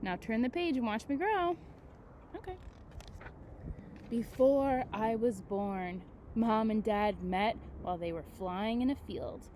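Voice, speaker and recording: A woman with a fairly high voice reads a children's picture book like a teacher. She is expressive and often breaks off to chat, explain and put questions to her young listeners. There is faint background noise on the recording. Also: slow. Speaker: teacher reading aloud to children. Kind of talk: children's picture-book read-aloud with chatty asides.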